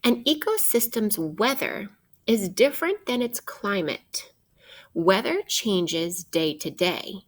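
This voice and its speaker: Slow-paced woman reading aloud to children. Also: medium pitch, sing-song. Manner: deliberate, questioning, addresses young listeners directly. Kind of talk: interactive children's read-aloud of a science book.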